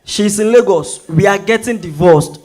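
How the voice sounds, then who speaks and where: Man acting a high-voiced, flirtatious charmer. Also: normal speed, darkish tone, lightly acted. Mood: earnest, persuasive. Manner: teasing, persistent. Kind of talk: dramatized stage reading.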